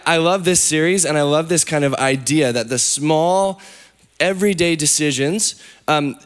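A man preaching a sermon as pastor, hoarse from losing his voice, talking candidly with fillers and restarts. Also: a little high. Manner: candid, self-deprecating, many fillers and restarts.

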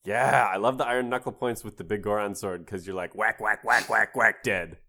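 A man's voice: lowish voice, fairly even intonation, brisk, sharp tone. A man co-hosting a podcast, chatting about a video game in a playful, enthusiastic way.

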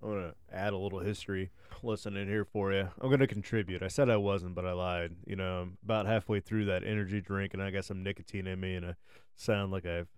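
A man's voice: deep voice, flat delivery, fast talker, clean tone, no room sound, studio quality. A man co-hosting a podcast, congested and nasal from allergies, rambling through a story in casual talk. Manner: rambling, chatty, swears freely. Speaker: podcast co-host. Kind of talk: casual chat.

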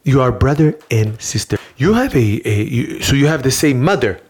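An earnest, childlike talk show host with an Israeli accent, as a comic performer plays it. The English is broken and halting, full of repetition and naive boasting. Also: mid-range voice, sing-song, darkish tone, husky. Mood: curious, earnest. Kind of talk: comedic children's talk show hosting and storytelling.

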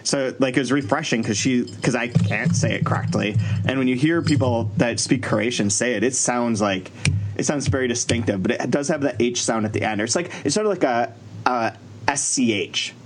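A man's voice: mid-range voice, fairly quick. A middle-aged American man telling casual anecdotes, acting out bits of dialogue as he goes. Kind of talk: casual chat. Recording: clear background noise.

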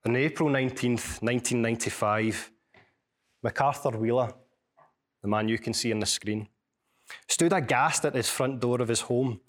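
A man with a strong Glasgow accent gives a university seminar, telling stories and reading a passage from his book. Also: medium pitch. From Scotland. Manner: storytelling, measured, with small restarts. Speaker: lecturer and author.

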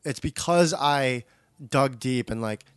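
A man's voice: medium pitch, slow pace, clear, resonant tone, dry recording. Informal, slangy man chatting, dry sound. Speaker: podcast co-host. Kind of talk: casual chat about an animated show.

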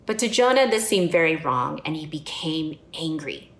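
A woman's voice: deep voice, lively intonation, normal speed. A woman from the United States preaching a sermon in an earnest, personal and reflective way. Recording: echoey room.

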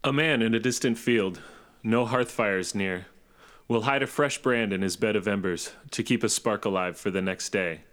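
A man narrating epic verse for an audio drama in a hushed, lyrical storytelling manner. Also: fairly low pitch, moderate pace, natural voice.

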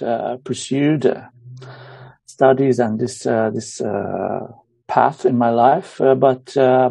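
A middle-aged man from Romania with a Romanian accent, talking about his life as a guest in an interview, hesitant and full of pauses and fillers. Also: medium pitch, creaky, mellow, no echo. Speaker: guest musician. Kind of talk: casual interview.

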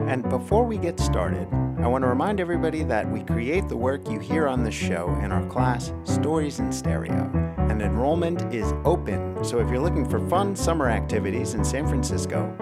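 Man hosting with lively, expressive delivery. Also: medium pitch. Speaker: podcast host and narrator. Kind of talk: scripted narration for a children's story podcast.

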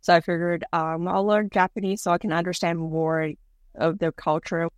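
Female interview guest, native Cantonese speaker, lower register, chatty delivery, close dry sound. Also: flat delivery, normal speed, dry recording. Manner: chatty, frequent "like" and "you know". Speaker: interviewee, mortgage broker. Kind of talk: casual interview answers.

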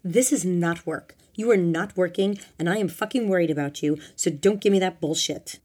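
A woman's voice: on the low side, normal speed, close to an everyday voice. Woman playing a fierce, exasperated young woman. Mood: angry, worried. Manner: forceful, exasperated. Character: fierce, worried, outspoken young woman confronting her boyfriend. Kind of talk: audiobook character dialogue.

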